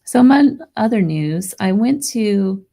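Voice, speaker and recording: A woman hosting a live paranormal radio show, hoarse and losing her voice, chatting excitedly with her listeners. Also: mid-range voice, measured pace. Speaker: radio podcast host. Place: home studio near Las Vegas.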